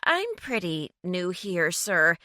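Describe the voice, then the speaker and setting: A woman's voice: lowish voice, sing-song, slow pace, sharp tone, no room sound, clean studio sound, exaggerated voice. Brief: nasal, bored office receptionist woman, weary and reluctant, read by a woman narrator. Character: tired nasal female receptionist.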